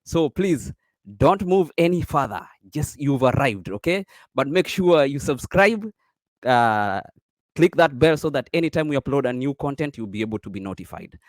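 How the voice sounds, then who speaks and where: A man's voice: medium pitch, animated, moderate pace, dry recording. A man hosting an online interview show, upbeat and chatty, with lots of fillers. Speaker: host.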